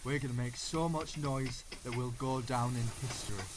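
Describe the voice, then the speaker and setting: Casting: male actor as resolute trench soldier, medium pitch, war audio drama, slight noise and clicks. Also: steady tone, moderate pace, lightly acted. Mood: determined. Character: steadfast male soldier in a WW1 tunnel.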